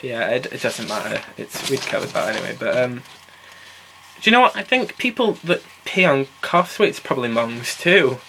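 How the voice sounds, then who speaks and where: A man's voice: mid-range voice, very animated, moderate pace, vocal fry, pushed and bright, low-quality recording. Young guy hosting a podcast, teasing his mates, slight hiss on the track. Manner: teasing, jumps between topics. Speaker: podcast host. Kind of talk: casual chat. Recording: faint hiss and clicks.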